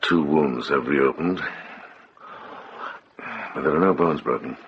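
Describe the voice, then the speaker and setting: An actor playing a wise, practical middle-aged monk and healer in a radio drama, matter-of-fact and gently authoritative. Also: male voice, very deep voice, slow. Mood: brisk concern. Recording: muffled, clearly noisy background.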